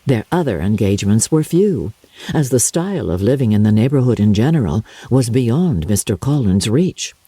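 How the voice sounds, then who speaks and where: An older woman narrates a classic novel in a deep, mellow register with a husky grain. Her delivery is theatrical and measured, swooping widely up and down as she shapes each phrase. The sound is close and dry. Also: dry recording. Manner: theatrical, measured, expressive storytelling. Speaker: audiobook narrator. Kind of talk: dramatic literary narration.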